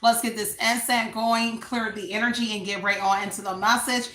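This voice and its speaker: A loud, chatty woman hosts a tarot reading from home. Her voice is bright and a little high, and it rings in an echoey room. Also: fairly even intonation, normal speed. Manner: chatty, loud, addresses viewers as y'all. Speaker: tarot reader and channel host. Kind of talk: casual livestream tarot reading.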